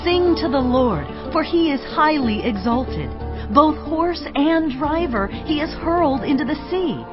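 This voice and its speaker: Miriam, high ringing praise. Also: female voice, expressive, measured pace. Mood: jubilant. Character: Miriam, joyful female prophet singing praise. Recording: muffled, with music underneath.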